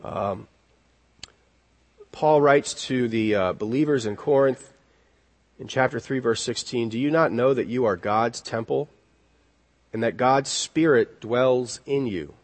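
A man preaching an expository sermon in an earnest, deliberate way, repeating key words for emphasis. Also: medium pitch. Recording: long reverberant hall echo.

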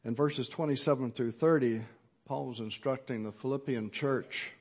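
A middle-aged man preaching an earnest sermon at a measured pace, with occasional fillers. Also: mid-range voice, darkish tone. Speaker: pastor.

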